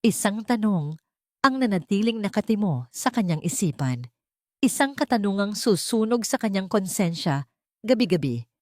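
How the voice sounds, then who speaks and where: A woman's voice: medium pitch, sing-song, moderate pace, darkish tone, no echo, clean studio sound. A woman reading a scripted story as a dramatic narrator.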